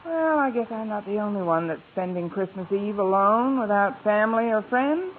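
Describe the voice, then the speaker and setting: An actress playing a wry, soft-hearted single woman with a dry wisecracking manner in an old radio comedy. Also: medium pitch, expressive, moderate pace, natural voice. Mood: wistful, wry. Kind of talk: 1940s-50s radio comedy acting. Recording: tinny noisy old radio recording.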